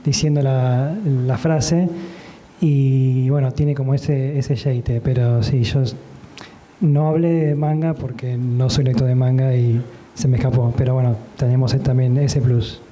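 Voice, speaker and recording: A man with an Argentine accent answering as a panelist, speaking in a relaxed way with many fillers. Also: medium pitch, measured pace, slight background noise, low-quality recording. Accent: Argentine Spanish. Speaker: panelist editor. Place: book fair hall.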